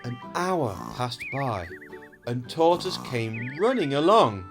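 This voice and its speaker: A man reading a children's story aloud as host and storyteller, in an expressive, explanatory way. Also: mid-range voice, slow pace, music in the background.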